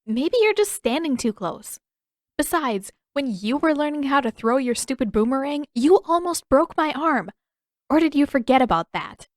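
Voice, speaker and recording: A woman's voice: sits high, very animated, normal speed, dry recording, clean studio sound, pitched above the performer's own voice. A woman voicing a stubborn, teasing teenage girl who bickers sarcastically in an audiobook story. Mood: irritated retort.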